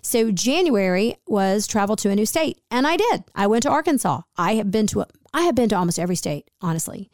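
A raspy-voiced woman in her forties, co-hosting a podcast in a chatty, rambling way full of fillers. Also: mid-range voice, very animated, fairly quick, hardly any pauses, darkish tone, dry recording, studio quality. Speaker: podcast co-host. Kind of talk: casual podcast chat.

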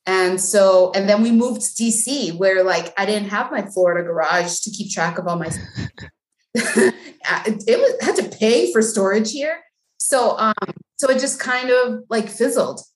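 A woman's voice: mid-range voice, measured pace. A woman from Mexico, a guest on a podcast, talking casually with lots of "like" and "um" fillers. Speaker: guest, bilingual speech language pathologist. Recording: echoey room.

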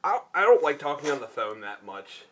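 A young man with a high, animated voice, loose and profane. He talks in halting, filler-heavy bursts and erupts into shrill laughter at his co-host's jokes. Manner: profane, giggly, shrieking laughter. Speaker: podcast co-host. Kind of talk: crude casual podcast banter.

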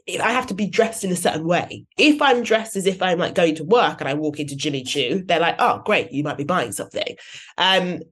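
A woman with a slightly low voice hosts a relaxed talk. She tells stories with energy, laughs easily and acts out remarks in quotes. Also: slightly rough. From West London. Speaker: guest host. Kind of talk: casual conversational storytelling.

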